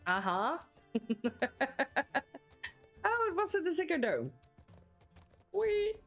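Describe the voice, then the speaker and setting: Chatty dutch lady streaming games, lively pitch, slow easy talk, faint music under it. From Netherlands. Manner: chatty, answers viewers, bursts of laughter. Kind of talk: live-streamed gameplay chat.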